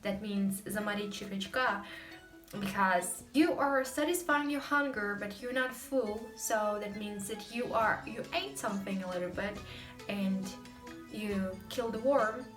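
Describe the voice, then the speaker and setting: A woman's voice: medium pitch, measured pace, fairly bright tone, reverberant, distant mic, soft background music. A young woman with a Russian accent, hosting a casual language lesson, explaining chattily with many fillers. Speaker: host.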